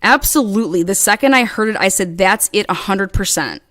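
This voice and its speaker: A woman guest in an interview telling a long story in a casual, animated way and acting out quoted dialogue. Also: medium pitch, brisk. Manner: rambling with frequent restarts.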